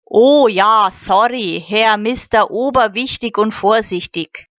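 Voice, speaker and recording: Lady doing a cocky kid, snappy and blunt, high voice. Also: slow, a bit bright, dry recording, muffled recording. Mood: brash. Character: cocky impatient boy, gallant and snappy.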